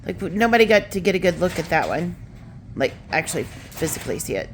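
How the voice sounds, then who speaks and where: A woman's voice: lowish voice, very animated, fast, slight background noise. A woman casually asking questions as an interviewer, prompting and encouraging the other speaker. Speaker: off-camera interviewer.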